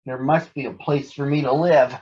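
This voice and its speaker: A man's voice: medium pitch, steady tone, normal speed, highs cut off, close to an everyday voice. A man reading a children's storybook, voicing a polite, shy and wistful young male fox with an earnest manner.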